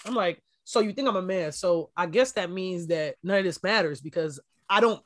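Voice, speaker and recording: A woman with a deep voice, co-hosting a podcast and talking candidly in casual chat, with animated storytelling. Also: normal speed. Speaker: podcast co-host.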